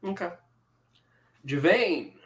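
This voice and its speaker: A man's voice: high voice, expressive, takes it slowly, fairly bright tone. A man hosting a live podcast panel, chatting casually as he moderates.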